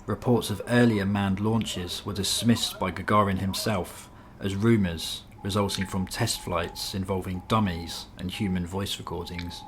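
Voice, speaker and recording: Male narrator, low voice, scripted documentary read, faint background noise. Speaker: narrator reading a nonfiction book excerpt.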